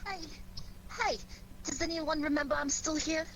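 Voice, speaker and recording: An actress playing a pert, affectionate sweetheart in a 1940s radio drama. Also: female voice, high voice, moderate pace. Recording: noisy, crackly 1940s radio recording.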